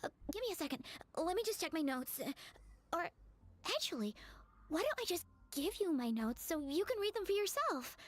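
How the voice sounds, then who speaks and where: A high, youthful female voice as a bookish would-be bard. She is polite and earnest, speaking as a studious character in a video game. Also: big pitch swings, moderate pace. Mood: touched, earnest. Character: earnest, bookish young woman, aspiring bard.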